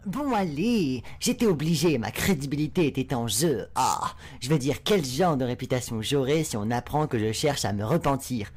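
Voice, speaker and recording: A woman's voice: a bass voice, lively intonation, moderate pace, hardly any pauses, a little mellow, husky, exaggerated voice. A woman voicing a crude, flamboyant male spider demon with a sarcastic, lewd, mocking drawl in a fan dub. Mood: sneering.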